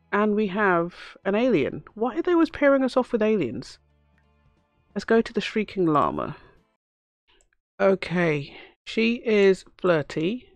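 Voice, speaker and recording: Hoarse woman gamer, casual running commentary, chats to her game characters, dry close sound. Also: medium pitch, no room sound. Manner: chatty, talks to the game characters. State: hoarse from a cough. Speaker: let's play host.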